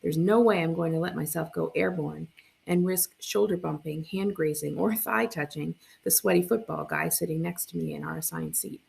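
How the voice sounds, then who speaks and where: A woman reading a first-person story aloud as a librarian would, with expressive narration. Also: sits low, moderate pace, creaky, poor audio.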